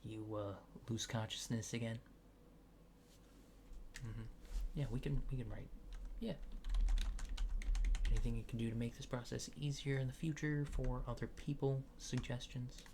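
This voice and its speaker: A man doing a soft-spoken ASMR roleplay, hushed and often near a whisper, with hesitant, polite, clinical phrasing. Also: medium pitch, steady tone, moderate pace, long pauses, slight background noise. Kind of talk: soft-spoken ASMR roleplay as an alien abductor examining a subject.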